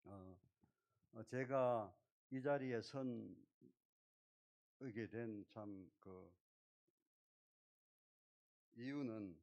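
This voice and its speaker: A middle-aged man, a church elder speaking modestly and haltingly from the pulpit. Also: lowish voice. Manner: soft-spoken, halting, modest. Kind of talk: testimony-style talk from the pulpit. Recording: dull lo-fi church recording.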